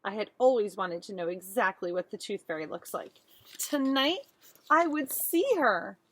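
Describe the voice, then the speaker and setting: A woman's voice: mid-range voice, moderate pace. A woman teacher reading a picture book aloud expressively for young children. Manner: expressive, addressing child listeners.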